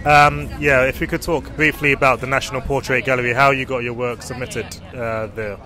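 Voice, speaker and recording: A man's voice: mid-range voice, little pitch movement, fairly quick, pushed and bright, faint music behind. A man interviewing, asking his questions loosely with hesitations.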